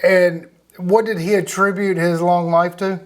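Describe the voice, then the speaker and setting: Guy hosting a relaxed chat, voice a bit high, kinda rambly and thoughtful. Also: unhurried. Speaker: interview host. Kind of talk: casual conversational interview.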